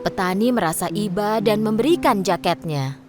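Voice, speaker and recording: A woman narrating a children's cartoon story in a lively, expressive way. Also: medium pitch, normal speed. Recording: narration over loud background music.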